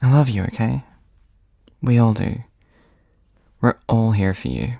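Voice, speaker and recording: An actor playing a caring, supportive friend in an audio drama, gentle, concerned and a little hesitant. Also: mid-range voice, a little slow, creaky, no room sound, studio quality. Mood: tender, supportive.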